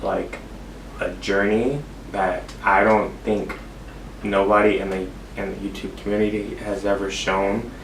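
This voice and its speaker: A man's voice: lowish voice, flat delivery, a little slow, reverberant. Young man, laid-back, joking, rambling, distant mic, faint hiss. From Inglewood, California. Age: young adult. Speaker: vlogger co-host. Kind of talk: casual Q and A chat.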